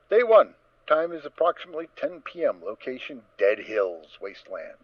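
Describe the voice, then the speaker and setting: Animated male dramatic story narrator. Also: a little high, no echo, dull audio. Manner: theatrical, expressive storytelling.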